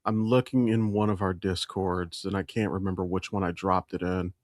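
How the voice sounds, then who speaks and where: A man's voice: low voice, steady tone, moderate pace, dry recording, clean studio sound. A man co-hosting a podcast, talking casually and opinionatedly in a blunt, profane way. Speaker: podcast co-host.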